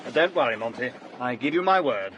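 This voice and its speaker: An actor playing a breezy, forceful young sporting student with an upper-class English accent, hearty and brisk in a radio drama. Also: male voice, mid-range voice, lively intonation, dull audio, background noise, natural voice. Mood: breezy, persuasive. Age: young adult.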